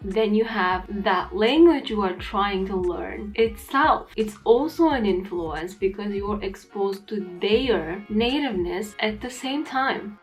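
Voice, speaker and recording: A woman's voice: medium pitch, expressive, unhurried, hardly any pauses, low-quality recording. A young adult woman from Turkey, Turkish native, speaking English with a blend of Turkish and American accents in an earnest, direct-to-camera talk. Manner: earnest, plain-spoken, emphatic. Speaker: English teacher vlogger. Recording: faint background music.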